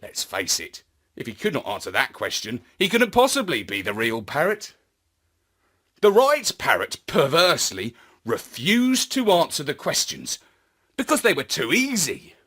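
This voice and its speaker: A man narrating a children's story in a theatrical, deliberate, expressive manner. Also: a little high, husky. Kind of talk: scripted children's story narration in first person.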